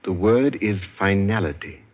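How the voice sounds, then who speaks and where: Low-voiced secretive man, old radio thriller. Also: lively intonation, normal speed. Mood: reading gravely. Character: wary, secretive, smallish man in hiding, dryly ironic. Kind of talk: 1940s radio thriller acting. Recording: noisy, muffled old radio broadcast.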